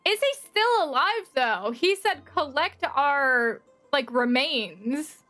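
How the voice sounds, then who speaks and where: A woman giving casual, expressive playthrough commentary as a game streamer, exclaiming and reading dialogue aloud. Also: high-pitched, slow pace. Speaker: streamer reacting to a game story. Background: game music.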